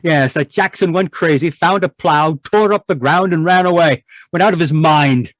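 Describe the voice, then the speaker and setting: A man's voice: fairly high pitch, normal speed, dry recording, natural voice. A man playing an irritable, domineering middle-aged scientist husband in an old-time radio drama, contemptuous and blustering. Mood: agitated. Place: indoors, live video call performance.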